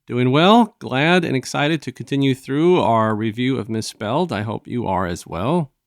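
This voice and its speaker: A man hosting a review show, casually retelling a story in a halting, conversational way and acting out bits of dialogue. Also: medium pitch, lively intonation, no echo, clean studio sound. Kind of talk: casual discussion and retelling of an audio drama.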